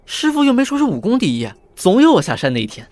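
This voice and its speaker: Higher-voiced dreamy young monk. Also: male voice, huge pitch swings, fast, a little mellow, dry recording. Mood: defensive, earnest. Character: young male Taoist monk, dreamy, gentle, naive. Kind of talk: Chinese wuxia audio drama acting.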